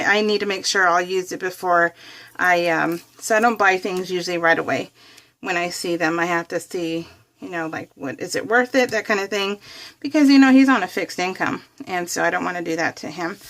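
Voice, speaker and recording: A woman's voice: medium pitch, a bit bright. A middle-aged woman with an American accent chatting casually and in a rambling, exclamatory way, as a craft vlogger does while working. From United States.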